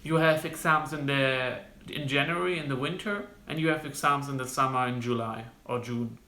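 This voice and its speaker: A young man from Germany with a German accent, giving casual advice to camera as a medical student, halting with frequent restarts. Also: medium pitch, sharp tone. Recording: roomy, echoey room sound.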